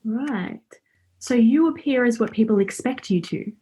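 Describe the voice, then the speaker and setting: A woman's voice: on the high side, a little slow, warm tone, breathy edge. A woman acting a chatty, cheeky, friendly character in a radio play, speaking informally and forthrightly. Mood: earnest, forthright. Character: Laura, chatty, cheeky, friendly young woman befriending Death.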